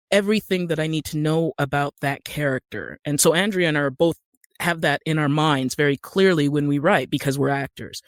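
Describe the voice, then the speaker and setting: An American man speaking conversationally as an interview guest, telling stories in an animated way. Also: a little high, dry recording, clean studio sound. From Utah, United States. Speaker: podcast guest, actor and screenwriter.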